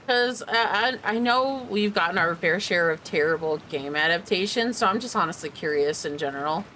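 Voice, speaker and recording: A woman giving casual, chatty live commentary as she plays a game, rambling along loudly. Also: fairly low pitch, lively intonation, moderate pace, a little hiss or hum, poor audio. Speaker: game streamer. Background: game music.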